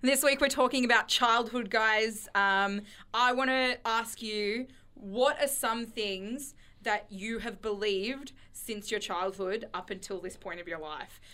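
A young Australian woman hosting a casual radio show, telling stories in an animated, giggly way. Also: a little high, a little slow.

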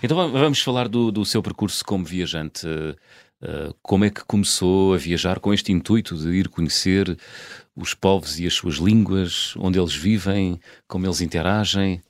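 A man's voice: low-pitched, moderate pace, dry recording, studio quality. A man hosting an interview with a European Portuguese accent, asking curious questions and giving short replies that keep the guest talking. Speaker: interview host. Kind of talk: conversational interview.

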